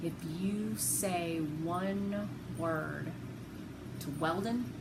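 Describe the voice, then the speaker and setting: A woman's voice: on the low side, extremely slow. A woman voicing a menacing, angry big father in a children's storybook, with barked commands and clipped threats. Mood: low threatening. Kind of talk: children's novel read-aloud. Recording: roomy, noisy home recording.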